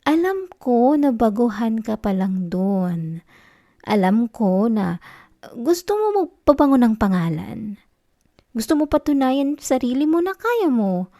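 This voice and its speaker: A woman acts out an affectionate, sulky Filipino wife in an intimate ASMR roleplay. Her voice is fairly high, soft and mellow. She takes her time, coaxing and teasing with a tender pout. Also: big pitch swings, a little slow. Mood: scolding, concerned. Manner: soft, coaxing, pouty, slipping into whispers.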